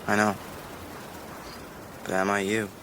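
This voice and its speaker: A troubled, low-voiced teenage boy. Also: monotone, takes it slowly, background noise. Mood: desolate, clinging. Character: troubled, lonely, intense teenage boy confessing a dark secret. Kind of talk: naturalistic radio drama acting.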